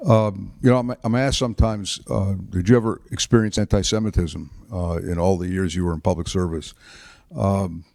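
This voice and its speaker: A man in his seventies, a retired politician and author, tells stories about his life to an audience in a chapel, halting with fillers and restarts. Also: low-pitched, steady tone, vocal fry, darkish tone, no echo, clean studio sound. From United States. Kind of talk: relaxed storytelling talk.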